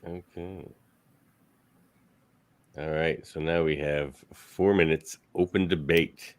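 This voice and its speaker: A man's voice: very deep voice, steady tone, slow pace. A man hosting and casually moderating a panel debate.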